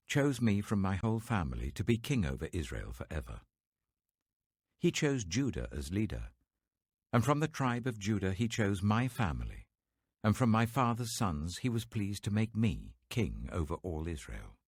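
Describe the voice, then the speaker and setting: A man's voice: low voice, a little mellow, husky, dry recording, studio quality, heavily acted. A British man voicing an aged, devout Israelite king who gives a solemn, weighty, fatherly address in a scripture audiobook. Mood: solemn, proud.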